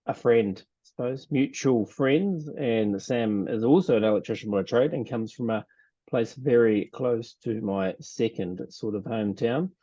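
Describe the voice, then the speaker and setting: A man's voice: mid-range voice, warm tone, no echo. A man with a New Zealand accent hosting a podcast, chatty and laughing easily as he talks. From rural New Zealand. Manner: chatty, laughs often, trails off. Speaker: podcast host. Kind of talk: casual podcast chat. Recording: dull dry lo-fi sound.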